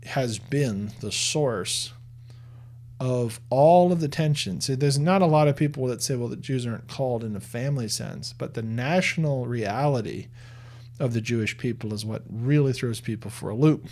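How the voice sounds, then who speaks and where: A man's voice: mid-range voice, normal speed, a little mellow. A man giving earnest religious commentary in a conversational tone, often restarting and repeating words as he makes his case.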